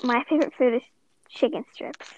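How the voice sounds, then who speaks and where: A woman's voice: high-pitched, steady tone, a little fast, a little hiss or hum. A teenage girl co-hosting a casual podcast, bossily insisting it is her turn to talk. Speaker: podcast co-host. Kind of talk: casual chat. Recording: dry, dull lo-fi sound.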